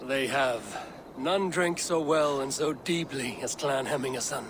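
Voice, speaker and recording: An actor playing a calm, commanding Viking war leader in a video game, speaking in a measured, resolute way. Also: male voice, mid-range voice, background noise, lightly acted. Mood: composed. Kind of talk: dramatic video game acting. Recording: faint background ambience.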